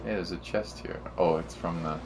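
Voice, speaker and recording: Low-pitched, rambling male game streamer. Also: steady tone, normal speed, darkish tone, slight background noise. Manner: rambling, teasing, slangy. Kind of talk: casual chat while playing.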